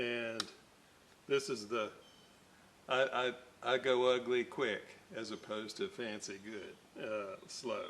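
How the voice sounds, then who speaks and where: A man's voice: mid-range voice, fairly bright tone, slight background noise. A man casually narrating his radio restoration work at an electronics workbench, rambling with many fillers and side stories. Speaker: electronics restoration hobbyist host.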